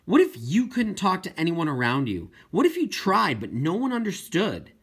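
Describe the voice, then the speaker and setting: A man's voice: high voice, wildly swooping pitch, normal speed, a bit bright, breathy edge, voice pushed up, full character voice. A man voicing an earnest deaf girl in an audiobook, pleading and stubborn, with emphatic, impassioned protests. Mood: hurt, indignant.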